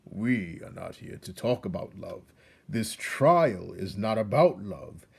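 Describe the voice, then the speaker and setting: A stern old head mouse of a storybook council, acted out by a man, declaiming with pompous, indignant authority. The voice is husky and swings up and down with formal emphasis. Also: fairly low pitch, animated, slow, exaggerated voice.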